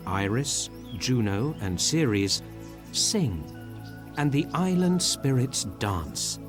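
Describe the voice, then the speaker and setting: A man narrating a read-along story for beginners. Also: medium pitch.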